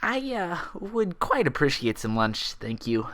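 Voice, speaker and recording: A man's voice: fairly high pitch, very animated, a little slow, fairly bright tone, voice pushed up. Man voicing an anxious, bookish young mare. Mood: embarrassed, polite. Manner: hesitant, formal politeness or muttered self-reproach. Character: anxious, self-berating young female pony librarian, proud and bookish.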